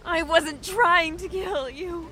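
A woman's voice: very high-pitched, fairly even intonation, slow, pushed and bright, full character voice. A woman playing a defiant but terrified young captive in an audio drama, emotional and breathless. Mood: panicked.

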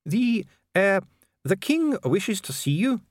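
A man's voice: sits high, very animated, normal speed, no echo, studio quality, voice pushed up. A man voicing an officious, evasive dwarf official in formal, clipped, protesting speech for an audiobook. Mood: mumbling, nervous.

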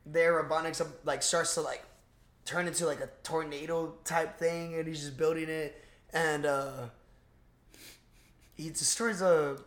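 A young man co-hosting a podcast, recapping a movie in casual, slangy, excitable talk with plenty of swearing. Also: fairly high pitch, unhurried, pauses often. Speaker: podcast host. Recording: roomy, echoing room sound.

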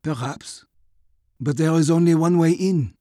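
A man voicing a cautious sergeant major in an audiobook war story, with a formal, deliberate delivery. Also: fairly high pitch, mellow, no room sound, clean studio sound, natural voice. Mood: grave, cautious.

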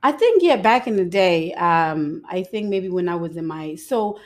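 A woman's voice: fairly low pitch, lively intonation, measured pace. Chatty woman from Ghana, giggly. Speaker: podcast guest. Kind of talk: faith-based podcast interview.

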